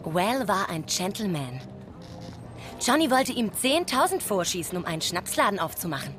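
A woman's voice: medium pitch, sing-song, moderate pace, music in the background, close to an everyday voice. An actress playing a proud, indignant young dance girl, brassy and insistent, in a crime radio play. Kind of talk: hard-boiled radio crime drama acting.

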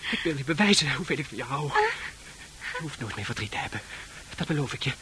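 Obsessive male lover, tender yet menacing; tense, sharp mid-range voice, insistent, slightly noisy radio play recording. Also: medium pitch, expressive, fast talker. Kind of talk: intense radio play acting.

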